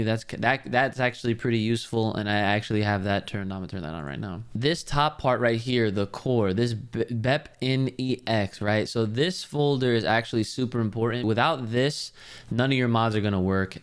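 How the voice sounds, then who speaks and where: A man's voice: fairly low pitch, clear, resonant tone. A man giving a casual screen-share gaming tutorial, explaining things step by step in an informal, rambling way.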